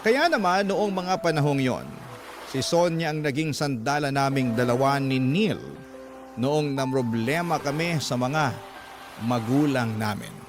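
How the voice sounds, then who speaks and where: A man's voice: medium pitch, a little slow. A man narrating a scripted first-person story as a radio storyteller reading a letter. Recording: music bed under the voice. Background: background music.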